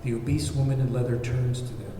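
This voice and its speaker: Casting: male script narrator, dark mellow tone, reading screenplay action, recorded at a distance. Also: mid-range voice, fast talker. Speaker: narrator reading stage directions. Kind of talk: scripted narration at a table read. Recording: very echoey, distant, noisy room recording.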